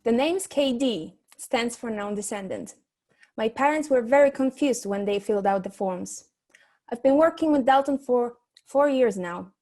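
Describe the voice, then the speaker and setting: A woman's voice: a little high, normal speed, a little mellow, natural voice. A woman playing a loyal, wry assistant to a private detective in a comic noir radio play, matter-of-fact in manner. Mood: wry, resolute.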